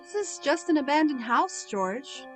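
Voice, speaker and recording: A woman playing a gentle, naive guardian angel in a radio play, explaining things patiently and plainly. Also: high-pitched, sing-song, a little slow. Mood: patient, grave. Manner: patient, matter-of-fact explaining. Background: music.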